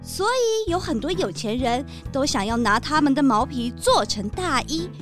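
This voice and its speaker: A woman's voice: high-pitched, very animated, moderate pace, a bit bright, faint music behind. A woman playing a greedy, vain young lady in a children's audio drama, with a showy, scheming manner. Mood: boastful.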